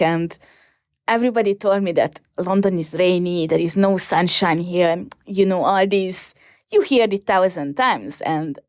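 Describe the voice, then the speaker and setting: Young Hungarian woman, interview guest, halting delivery, close dry sound. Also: lowish voice, darkish tone, no room sound. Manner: hesitant, many ums and restarts. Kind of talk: casual interview answers.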